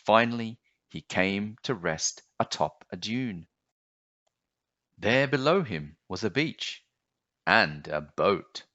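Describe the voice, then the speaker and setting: A man narrating a children's storybook aloud in a measured, expressive storytelling manner. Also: lowish voice, no echo, muffled recording.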